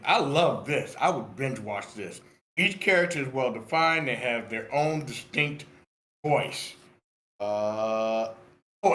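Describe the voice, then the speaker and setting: A man's voice: mid-range voice, pushed and bright. An older man giving casual, blunt and enthusiastic spoken critique on an online meeting. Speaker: script feedback participant. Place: indoors on an online meeting call. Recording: roomy echoing online meeting audio.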